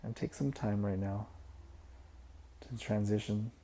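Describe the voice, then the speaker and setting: Male meditation guide: low, very dark and mellow, gravelly fry, soft and unhurried. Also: steady tone. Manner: soft-spoken, unhurried instruction with pauses.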